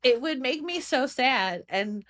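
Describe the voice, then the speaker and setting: A woman's voice: sits high, expressive, a little slow, a bit bright. Young American woman chatting casually on a podcast. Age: young adult. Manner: informal, chatty, peppered with "like" and "you know". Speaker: podcast co-host.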